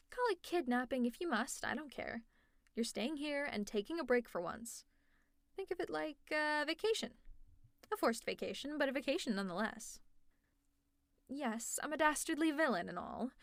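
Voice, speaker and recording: A fairly high-voiced, teasing young villainess, played by a woman in an audio roleplay, exasperated and caring toward her worn-out rival. She speaks close to the mic in a dry room with no echo. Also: creaky, fairly bright tone. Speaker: ASMR roleplay creator.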